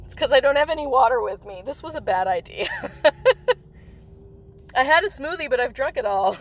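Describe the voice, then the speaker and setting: A woman hosting a game livestream in casual, rambling chat, her voice slightly raspy from coughing. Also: sits high, very animated, normal speed. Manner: rambling, chatty, with frequent laughs. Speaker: livestream host. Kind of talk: casual chat while playing a mobile game.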